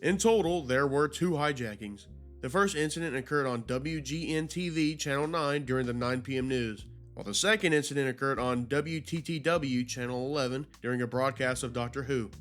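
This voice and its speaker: A man's voice: mid-range voice, fairly quick, a bit bright, faint music behind. A man hosting a video commentary, narrating in an informal, slangy, conversational way. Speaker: YouTube host.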